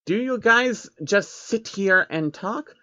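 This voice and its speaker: A man voicing a naive, excitable male insectoid pony creature from a fan storybook reading, curious and wide-eyed. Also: sits high, takes it slowly, highs cut off, voice pushed up, full character voice.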